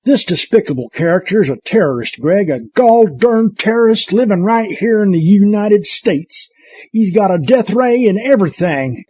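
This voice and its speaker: A man's voice: high voice, lively intonation, normal speed, warm tone, slightly rough, no room sound. A man playing a folksy, exasperated political adviser with a drawl in a comedy audio drama. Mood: exasperated, urgent. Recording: dull lo-fi, faint music behind.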